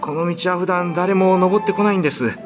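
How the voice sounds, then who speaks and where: A man's voice: sits high, fairly quick, music in the background, voice pushed up, lightly acted. A man playing a pious young male farmer in a radio drama, speaking politely and with heartfelt drama. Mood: grateful.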